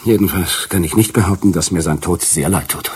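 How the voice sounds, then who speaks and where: An actor playing a bitter, wounded betrayed husband in a German radio drama, grave and controlled, with a low, husky voice. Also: male voice, brisk. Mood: bitter, hurt.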